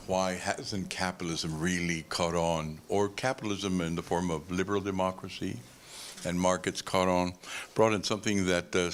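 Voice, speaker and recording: A man's voice: sits low, a bit bright, slight background noise. A man speaking on a conference panel, discursive and explaining with homely analogies.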